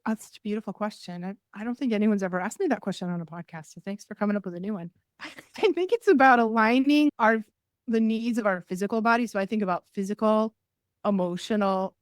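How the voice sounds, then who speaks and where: A woman's voice: mid-range voice, no room sound. Woman guest giving practical advice. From New England. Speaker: podcast guest, energy healer. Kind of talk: casual interview chat.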